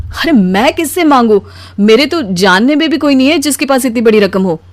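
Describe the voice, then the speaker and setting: Woman acting weary, high-voiced cartoon husband. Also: expressive, moderate pace, dry recording. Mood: hesitant. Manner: pleading, weary, plaintive. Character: weary, put-upon, soft-hearted husband in a cartoon. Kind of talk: animated story acting.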